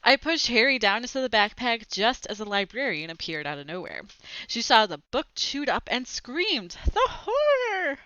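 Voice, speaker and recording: A young woman reading a children's story aloud into a headset mic in an expressive, clearly phrased manner, with little asides. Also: fairly high pitch, moderate pace, dry recording, lo-fi sound. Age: young adult. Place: small home room with bookshelves, indoors. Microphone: headset microphone.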